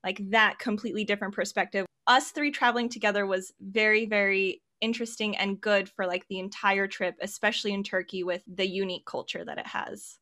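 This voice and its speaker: American woman host, easygoing chat, bright forward voice. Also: medium pitch, fairly even intonation, fairly quick, few pauses. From United States. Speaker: podcast host. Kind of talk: casual podcast chat.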